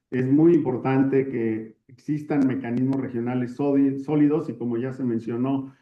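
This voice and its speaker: A man giving a webinar talk, speaking steadily with frequent fillers. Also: mid-range voice. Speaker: webinar panelist. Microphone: video call. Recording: echoey, dull video-call audio.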